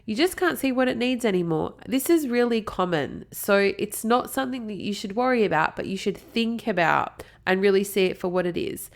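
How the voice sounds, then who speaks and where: An Australian woman in her thirties hosts her own podcast, her voice mid-pitched and a little stuffy from a blocked nose. She talks in an upbeat, chatty way and happily drifts off on small tangents before coming back to her point. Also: lively intonation, normal speed, vocal fry, few pauses. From South Australia. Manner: chatty, upbeat, prone to digressions. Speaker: podcast host. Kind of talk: solo marketing podcast talk.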